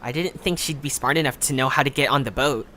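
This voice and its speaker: Man playing brash, sharp-voiced arrogant young leader. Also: medium pitch, fast talker, slight background noise, lightly acted. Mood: scornful. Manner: scornful, sarcastic, brash. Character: bossy, arrogant, sarcastic young adventurer. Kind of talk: animated audio drama acting.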